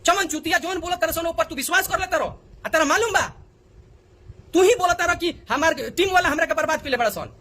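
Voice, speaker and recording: A man's voice: extremely high pitch, a little fast, pushed and bright. A man with a Bhojpuri accent making an indignant, pleading direct appeal, often repeating himself for emphasis. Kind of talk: agitated direct appeal in a video.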